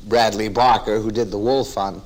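A man telling anecdotes in a measured, reminiscing way, like a raconteur. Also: on the low side, fairly even intonation. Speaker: interviewee recalling colleagues in radio.

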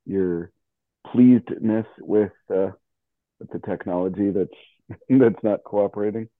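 A middle-aged or older American man chatting casually, halting and amused as he talks. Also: lowish voice, no room sound. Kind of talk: casual chat. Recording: thin phone-like call audio.

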